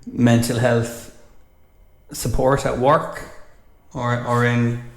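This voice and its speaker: An Irish man with a neutral accent talks in a relaxed, hesitant way, pausing often with fillers. His voice stays level with little rise and fall. The room adds a noticeable echo. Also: medium pitch, gravelly. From Ireland. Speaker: host. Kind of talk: casual chat. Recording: roomy echo.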